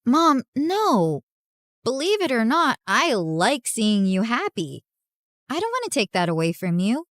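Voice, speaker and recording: A woman playing a caring, plucky teenage daughter in an animated story, earnest and expressive. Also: a little high, a little slow, dry recording, clean studio sound. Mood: reassuring.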